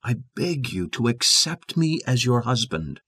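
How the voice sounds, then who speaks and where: An actor playing a brooding, passionate gentleman in love, with theatrical, intense delivery in a dramatized classic novel. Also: mid-range voice, sing-song, measured pace, husky, dry recording, studio quality, exaggerated voice. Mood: ardent pleading.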